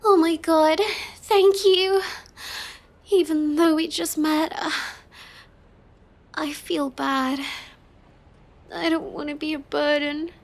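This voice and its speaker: Woman acting as a shy sweet girl villainess, really high voice, slow n halting, intimate roleplay audio. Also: fairly even intonation. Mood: hesitant, grateful. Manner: halting, apologetic, soft-spoken and sweet. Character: shy, tearful young woman villainess feigning helplessness.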